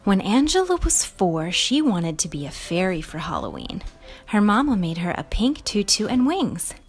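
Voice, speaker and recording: A woman's voice: mid-range voice, big pitch swings, moderate pace, husky, soft background music. A woman hosting a read-aloud, telling a storybook to young children with emphatic expression. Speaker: storybook reader and show host.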